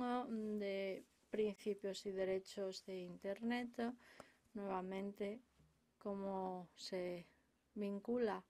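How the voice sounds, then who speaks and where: A woman interpreting conference speeches simultaneously from a booth, halting often with filler sounds and restarts. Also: medium pitch, darkish tone.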